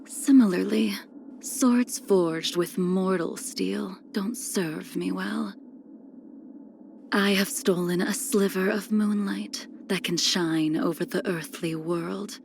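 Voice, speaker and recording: A woman playing a cold, ageless, melancholy swordswoman in a video game, speaking in formal, solemn phrases that trail into breathy near-whispers. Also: medium pitch, slow, soft background music. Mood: proud, cool. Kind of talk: scripted video game character lines.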